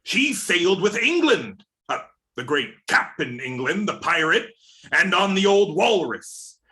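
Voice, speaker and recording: Long John Silver, animated old pirate. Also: male voice, high-pitched, exaggerated voice. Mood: relishing, boastful. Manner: folksy sailor talk, drawn-out storytelling. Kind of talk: radio play reading. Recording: remote video-call reading.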